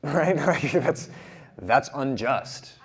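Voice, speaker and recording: A man's voice: mid-range voice, lively intonation. Give me a man moderating a discussion, thinking aloud with hesitant restarts. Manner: halting, full of restarts when musing. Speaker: discussion facilitator.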